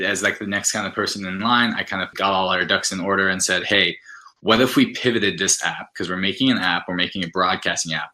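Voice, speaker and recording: A man's voice: low voice, pushed and bright. A man speaking as an interview guest, explaining his product in an enthusiastic, conversational way and laying out points one by one.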